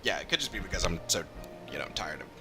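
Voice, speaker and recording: A man's voice: low-pitched, very animated, fast talker, over music. A man chatting casually on a live stream as he plays a game, musing and trailing off. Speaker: streamer playing a game and voicing its dialogue.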